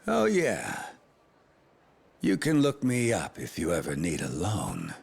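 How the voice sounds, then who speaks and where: A man's voice: deep voice, huge pitch swings, takes it slowly, creaky, breathy edge, heavily acted. An actor playing a cocky, mocking male loan shark in a game dub, drawing out his taunts. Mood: taunting.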